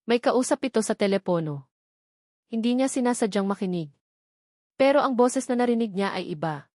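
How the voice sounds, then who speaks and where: A woman's voice: fairly high pitch, animated, moderate pace, a little mellow, no echo, clean studio sound. A woman reading a scripted romance story as its narrator.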